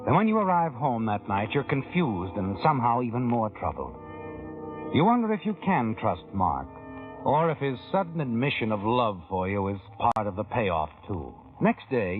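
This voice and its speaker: A man narrating a vintage radio thriller in the second person, his pitch swinging widely as he probes and insinuates. The sound is thin and tinny, with faint music underneath. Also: husky. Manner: insinuating, probing.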